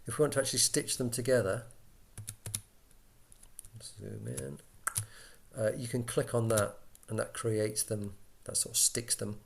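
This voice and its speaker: A man talking through an informal software tutorial, casual and a little hesitant as he thinks aloud into a laptop microphone. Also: medium pitch, steady tone, measured pace, vocal fry, long pauses. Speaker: tutorial presenter for radio volunteers. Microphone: built-in laptop microphone. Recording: laptop mic, background noise, key clicks.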